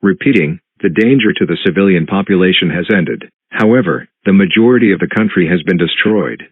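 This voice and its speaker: A man playing a solemn, official emergency alert announcer, reading formal, measured scripted notices. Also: low voice, expressive, husky, no echo. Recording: muffled lo-fi broadcast audio.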